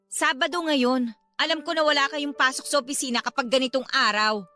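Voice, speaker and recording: Actress as a high, bright-voiced young mother. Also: brisk, soft background music. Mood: suspicious, tired. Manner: polite, expressive. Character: weary, patient wife and mother of a sick child. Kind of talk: Filipino radio drama acting.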